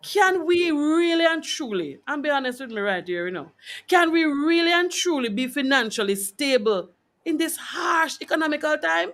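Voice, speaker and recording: A woman with a Jamaican accent hosting a radio talk, colloquial and animated, putting questions to her guest in a conversational way. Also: sits high, moderate pace. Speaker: radio host interviewing a guest.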